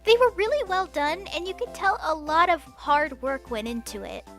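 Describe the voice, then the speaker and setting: A young woman reading a scripted movie review as a voice-over, enthusiastic and expressive with casual slang. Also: high-pitched, normal speed, over loud music.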